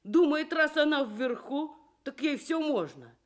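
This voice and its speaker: A woman's voice: sits high, lively intonation, a little slow, pitched above the performer's own voice. A woman voicing a grumpy, stubborn male badger in a children's radio tale, grumbling indignantly. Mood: resentful grumbling.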